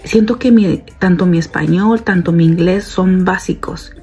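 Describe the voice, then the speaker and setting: A woman's voice: fairly low pitch, moderate pace, mellow, slightly rough, faint music behind. A woman in her thirties, a stay-at-home mom, chatting casually to her phone camera at home and calling her viewers "girls". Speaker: mom vlogger. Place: home kitchen and bedroom, indoors. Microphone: phone held at arm's length.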